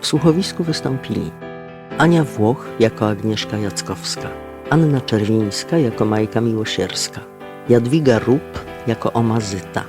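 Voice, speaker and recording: A man announcing audio drama credits in a measured, formal, scripted manner. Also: fairly low pitch. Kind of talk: scripted narration. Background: guitar music.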